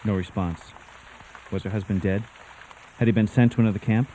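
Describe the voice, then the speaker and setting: An actor playing a grave storyteller, narrating a suspense tale for radio drama in a theatrical, deliberate manner. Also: male voice, lowish voice, steady tone, dark tone, slight background noise, lightly acted, rough recording. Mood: tense, dramatic. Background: music.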